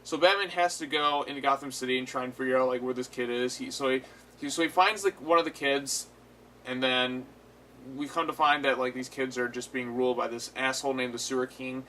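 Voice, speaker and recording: A man's voice: medium pitch, fairly even intonation, a little fast, pushed and bright. A man giving a casual, unscripted episode review as host, retelling it animatedly with frequent swearing. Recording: clear background noise.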